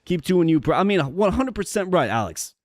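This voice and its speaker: A man's voice: high-pitched, sing-song, brisk, no echo, clean studio sound. Upbeat chatty man with a stutter. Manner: upbeat, chatty, addresses viewers directly; stutters sometimes, repeats words. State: strained, worn voice. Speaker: gaming streamer. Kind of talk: casual live-stream commentary.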